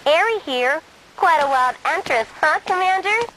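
A woman's voice: extremely high pitch, animated, measured pace, bright, forceful tone, a little hiss or hum, heavily acted, low-quality recording. A woman voicing a pert young mission support operative in a video game, with a teasing briefing manner. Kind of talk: video game cutscene acting.

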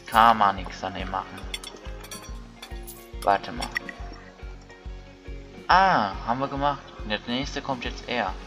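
A man's voice: on the low side, expressive, slow, long pauses, sharp tone. A man giving casual let's play commentary, thinking aloud in colloquial speech. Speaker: gaming video creator. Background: game music.

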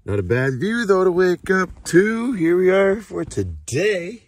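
A man in his thirties talking casually to a handheld camera about his travels, chatty and relaxed, full of fillers. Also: sits high, a little slow. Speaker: travel vlogger. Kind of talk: casual vlog talk to camera. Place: inside an SUV and outdoors by a coastal beach. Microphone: handheld phone or camera at arm's length.

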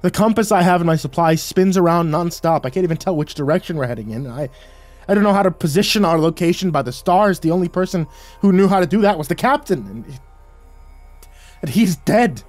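A man narrating a first-person horror story in a tense, halting way with stammered restarts. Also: sits high, expressive, a little mellow. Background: faint music.

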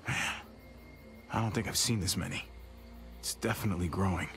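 A man's voice: low-pitched, fairly quick, vocal fry, a bit bright, soft background music. A male actor playing a weary, caring, wry young man on the road in a zombie apocalypse video game. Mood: weary, low-key. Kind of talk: naturalistic video game drama acting.

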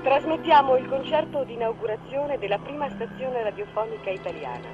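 A woman's voice: fairly high pitch, expressive, fairly quick. A woman making a formal announcement in the style of 1920s radio. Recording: dull lo-fi archive radio, music behind.

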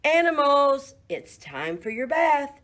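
A woman reading a children's picture book aloud, voicing a fussy, bossy farm woman who scolds in a shrill, loud voice. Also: big pitch swings, takes it slowly. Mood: calling. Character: bossy middle-aged farm woman who loves cleanliness.

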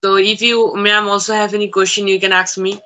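A teenage boy whose first language is Bangla talks haltingly in English on a video call from home, with many fillers and restarts. His voice is bright and edgy, and his pitch barely moves. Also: flat delivery. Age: teens. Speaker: student learner. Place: room at home. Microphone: phone on a video call.

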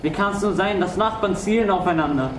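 A troubled father and humble cobbler played by a man with a high, plaintive voice in an opera. He speaks out dramatically from some distance in an echoey, noisy space. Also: brisk, natural voice. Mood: anguished.

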